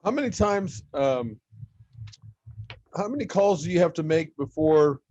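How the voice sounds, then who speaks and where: A male podcast host asks curious follow-up questions in a relaxed chat. His voice sits a little high, and the recording is dry and slightly dull, like a budget mic. He sounds engaged and keeps the questions coming. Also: a little slow, dry recording.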